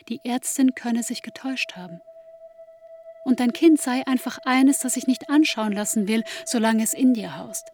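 A woman acting as an intimate, grieving narrator in a literary radio play, speaking in a slow, measured way to a bereaved mother. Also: on the high side, a little mellow. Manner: slow, measured, intimate second-person delivery.

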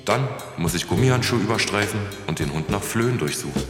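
A man as a lowish-voiced henpecked husband. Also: lively intonation, a little fast, close to an everyday voice. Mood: dutiful, resigned. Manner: obedient recitation. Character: low-voiced henpecked dead husband, dutiful and ailing. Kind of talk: radio play acting. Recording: echoey hall reverb, music behind.

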